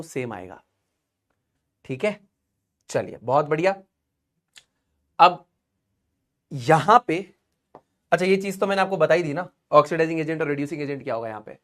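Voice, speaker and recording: A man's voice: sits high, a bit bright. Chatty male chemistry teacher lecturing. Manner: chatty, asks checking questions. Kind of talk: online class lecture.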